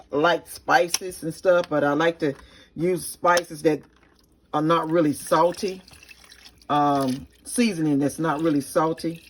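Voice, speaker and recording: A woman with a raspy voice, chatting casually to her viewers in a home kitchen cooking vlog. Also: low voice, a little hiss or hum. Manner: chatty, talks straight to viewers. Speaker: cooking vlogger.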